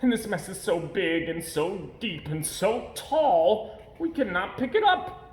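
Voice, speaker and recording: A man's voice: sits high, big pitch swings, a little slow, roomy sound. A man voicing a fretful, scolding pet fish in a children's storybook, with urgent, alarmed exclamations. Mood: worried. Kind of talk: children's storybook read-aloud.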